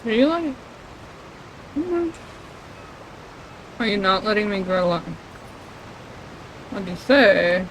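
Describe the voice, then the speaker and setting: A woman's voice: medium pitch, very animated, slow, long pauses, slight background noise, poor audio. A woman giving casual game stream commentary, muttering and swearing to herself. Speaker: livestreamer.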